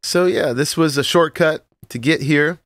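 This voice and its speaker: Relaxed man with a froggy morning voice. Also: a little high, unhurried, dry recording. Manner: relaxed, rambling, with frequent "uh" and short laughs. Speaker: Minecraft let's-play host. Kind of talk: casual gameplay tour narration.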